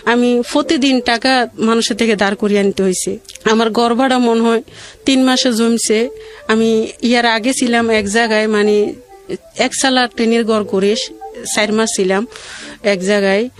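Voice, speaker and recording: A woman's voice: on the high side, fairly even intonation, normal speed, pauses often, faint music behind. Plaintive Bangladeshi woman telling her story. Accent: regional colloquial Bangladeshi. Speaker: guest telling own life story. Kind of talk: emotional personal storytelling.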